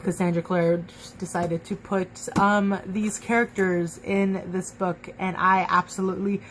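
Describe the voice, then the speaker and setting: A young woman chatting excitedly in a casual book review vlog, full of fillers and restarts. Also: mid-range voice, measured pace, slight background noise, rough recording. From Mexican and Cuban heritage.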